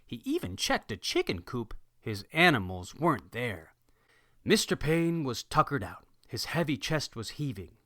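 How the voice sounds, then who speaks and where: A man reading a rhyming picture book aloud with an expressive sing-song rhythm. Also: a little high, no room sound, clean studio sound. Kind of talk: rhyming read-aloud narration.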